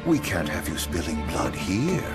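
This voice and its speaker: A theatrical male villain from a video game. He drawls florid, mocking lines in a medium-pitched, very animated voice. Loud music runs behind him. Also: a little slow. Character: smug, theatrical male villain who taunts sweetly. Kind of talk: video game cutscene acting.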